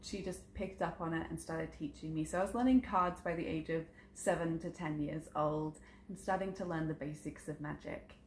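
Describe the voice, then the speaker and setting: A woman's voice: low-pitched, moderate pace, few pauses, darkish tone, slight background noise. A woman around thirty, a psychic content creator, introducing herself in a chatty, earnest vlog and telling stories about her family and spirituality, with frequent ums. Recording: roomy echo with faint background ambience.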